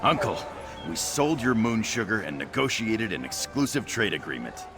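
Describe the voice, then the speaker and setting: A man's voice: lowish voice, sing-song, normal speed, over music. A man playing a cheerful, ambitious young orc trader in scripted video game dialogue. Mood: eager.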